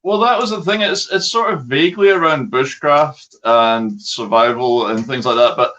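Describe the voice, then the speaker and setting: A Scottish man chats as a guest in an easygoing, informal way. His voice sits at a middling pitch, heard through slightly muffled online call audio. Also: normal speed, bright, forceful tone. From Scotland. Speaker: guest, outdoors video creator. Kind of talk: casual chat.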